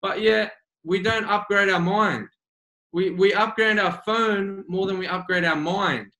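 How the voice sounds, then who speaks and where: A man's voice: sits high, unhurried, sharp tone. A man with an Australian accent, a guest coach in a remote interview, speaking earnestly and repeating his key phrases for emphasis. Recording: roomy echo.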